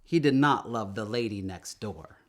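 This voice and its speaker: A man reading a children's story aloud in an expressive, emphatic storytelling manner, drawing out words. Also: on the low side, moderate pace. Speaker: storytime reader.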